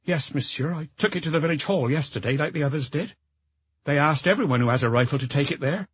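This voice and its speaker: A man's voice: a little high, fast, slightly rough, dry recording, highs cut off. A man voicing a timid, earnest village schoolboy who answers questions politely and carefully in an audiobook. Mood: polite, matter-of-fact.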